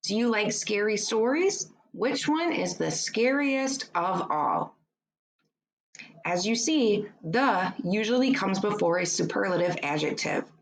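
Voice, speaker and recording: Slow-paced woman reading aloud to pupils. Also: mid-range voice, lively intonation, low-quality recording. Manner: deliberate, instructive, addressing young pupils. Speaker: teacher reading a book aloud. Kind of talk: children's educational read-aloud with short explanations.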